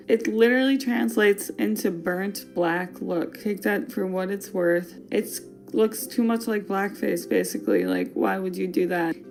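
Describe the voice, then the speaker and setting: Playful chatty woman with a Wisconsin accent. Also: mid-range voice. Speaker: podcast host. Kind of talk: casual fashion podcast chat.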